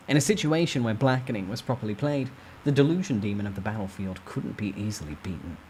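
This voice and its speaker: A man's voice: fairly low pitch, lively intonation, fast talker, dark tone, a little hiss or hum, rough recording. A man giving a dramatic first-person storytime reading of a novel. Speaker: narrator reading a novel aloud.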